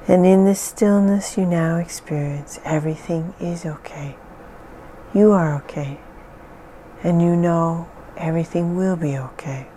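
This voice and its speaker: A woman leading a guided hypnosis session in a slow, soothing, measured way with long pauses. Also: lowish voice, dark tone, a little hiss or hum, poor audio.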